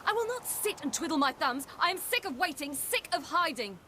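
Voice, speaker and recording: A woman's voice: high-pitched, big pitch swings, brisk, bright, forceful tone, slight background noise, full character voice. An actress playing a headstrong, impatient young woman warrior who speaks bluntly and defiantly in a game cutscene. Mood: frustrated. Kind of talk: video game cutscene acting.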